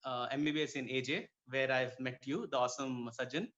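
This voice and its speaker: A man's voice: mid-range voice, steady tone, a little fast, a bit bright. A man from Kuwait speaking conversationally as a dermatologist guest in an interview. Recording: dull lo-fi audio.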